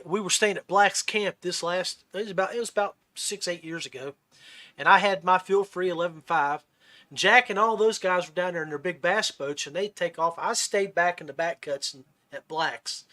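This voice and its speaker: A man with a notably high, bright voice hosts a casual fishing podcast. He talks in a folksy way and rambles through his stories like someone chatting with buddies. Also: normal speed. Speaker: podcast host.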